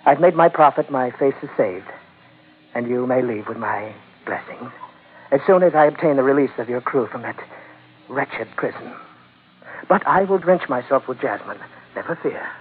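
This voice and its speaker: Sly elderly merchant villain (male actor), oily politeness, fussy drawn-out delivery, narrow old radio sound. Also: mid-range voice, animated, natural voice. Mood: smugly satisfied. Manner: fussy, mannered, drawling politeness with hesitations. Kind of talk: old-time radio drama acting. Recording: muffled, phone-like vintage radio recording.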